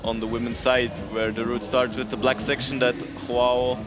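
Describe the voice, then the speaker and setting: A male event commentator speaks casually and informally, with non-native English phrasing. His pitch is medium and fairly even. Music plays clearly behind him. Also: normal speed. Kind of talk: casual live sports commentary.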